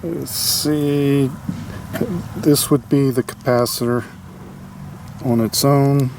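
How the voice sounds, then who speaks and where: A man giving a casual, unscripted hands-on repair demonstration, halting as he thinks aloud. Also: medium pitch, fairly even intonation. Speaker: hobbyist radio restorer demonstrating a repair. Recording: clearly noisy amateur video sound.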